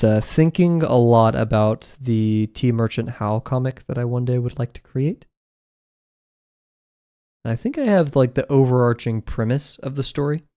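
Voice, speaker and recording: A man's voice: medium pitch, darkish tone. A young man chatting casually on a live stream while he draws, rambling and joking about himself, in an apartment room fitted with acoustic foam. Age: young adult. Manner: chatty, rambling, self-deprecating, laughs often.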